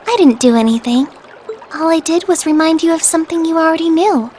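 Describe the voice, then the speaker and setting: An actress voicing a caring, polite teenage younger sister in a video game, with an earnest, proper way of speaking. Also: female voice, extremely high pitch, fairly quick, darkish tone, a little hiss or hum. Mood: soft, modest. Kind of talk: video game cutscene voice acting. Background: trickling water ambience.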